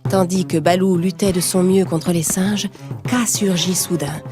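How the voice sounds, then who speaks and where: Low-voiced woman narrating a children's story. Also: steady tone, measured pace. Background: music.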